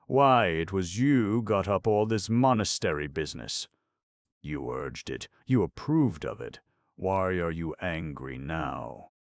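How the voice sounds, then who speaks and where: A man reading aloud voices a boisterous, mocking old father who shouts and jeers. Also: low-pitched, animated, takes it slowly, no echo, studio quality, voice pushed up, heavily acted. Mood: indignant. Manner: shouting, jeering, theatrical.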